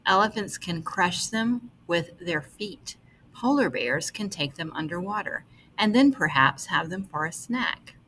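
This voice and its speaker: A woman reading an article aloud as scripted narration, with measured, deliberate phrasing. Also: on the low side, expressive, fairly bright tone, a little hiss or hum, poor audio.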